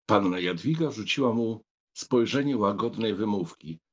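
A man's voice: lowish voice, normal speed, slightly rough. A man reading scripted literary narration for an audiobook.

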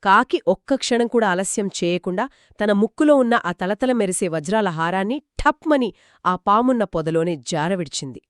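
Expressive woman telling a children's story. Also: medium pitch, moderate pace, dry recording, clean studio sound. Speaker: co-host storyteller. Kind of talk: scripted children's storytelling in dialogue.

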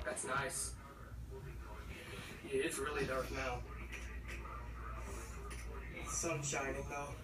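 A man's voice: medium pitch, flat delivery, extremely slow, long pauses. Guy chatting offhand from far off, echoey, tons of noise. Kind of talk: casual offhand remarks. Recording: echoey, distant, very noisy phone video.

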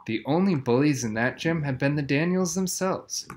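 A man's voice: on the high side, normal speed, darkish tone, slight background noise. A man reading a children's novel aloud, telling the story expressively with plenty of emphasis. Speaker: audiobook reader.

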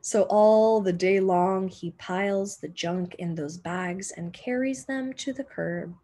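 A young woman, a teacher reading a children's picture book aloud expressively, with deliberate dramatic pauses and emphasis. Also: medium pitch, dark tone. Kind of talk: expressive children's storybook narration.

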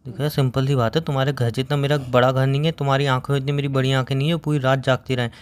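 A man's voice: medium pitch, level tone, rapid-fire. A sleepy young man chatting casually on a phone call as a boyfriend. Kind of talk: casual couple's phone chat.

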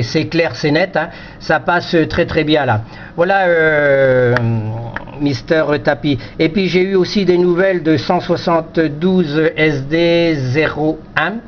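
A man's voice: fairly high pitch, measured pace. A man from France chatting casually as an amateur radio operator, repeating himself and punctuating phrases with small filler words. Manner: repetitive, punctuates phrases with "là" and "voilà". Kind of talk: casual radio contact chat. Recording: dull lo-fi sound, faint noise.

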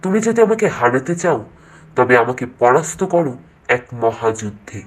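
A performer voicing a menacing, boastful devil king of the ocean in a slow, proud, threatening manner for a fairytale cartoon. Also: mid-range voice, lively intonation, soft background music, voice pushed down, natural voice. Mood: challenging.

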